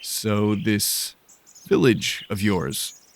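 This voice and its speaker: A man's voice: fairly low pitch, lively intonation, very slow, gravelly, a little hiss or hum, heavily acted. A man playing an inquisitive lost explorer in a sci-fi audio drama, speaking informally with emphatic questions. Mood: probing, hesitant. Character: John, frustrated, inquisitive lost male explorer.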